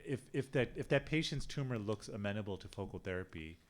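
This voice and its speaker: A man speaking as a urologist on a medical panel, talking haltingly with many restarts. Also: fairly low pitch, animated. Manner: hesitant, many restarts and fillers. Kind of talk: panel discussion.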